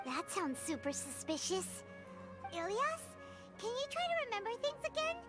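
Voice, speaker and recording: A woman voicing a tiny, childlike, chatty fairy companion in a video game, with a squeaky voice. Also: sing-song, normal speed. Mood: curious, suspicious. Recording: music loud behind the voice.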